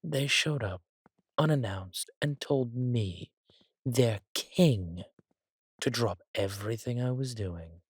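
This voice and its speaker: A husky, breathy villain king, acted by a man, drawling slowly in a dry, close recording. He is arrogant and sardonic, a theatrical sulker with a cruel streak, in the manner of a roleplay audio drama. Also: medium pitch, dry recording. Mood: petulant, indignant. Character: arrogant, petulant villain king, cruel yet doting on his consort.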